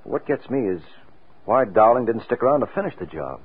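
A narrow, tinny old radio recording carries a dogged gumshoe from a crime serial. He is husky and quick, wry and ready with a wisecrack. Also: mid-range voice, very animated. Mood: puzzled, dogged. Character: wry, dogged male private detective, unflappable. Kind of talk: 1940s radio crime drama acting.

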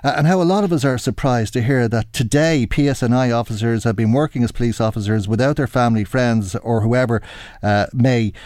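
A middle-aged Irish man hosting a radio current affairs interview, speaking in a measured, halting way. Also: mid-range voice, darkish tone, dry recording, studio quality. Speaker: radio show host.

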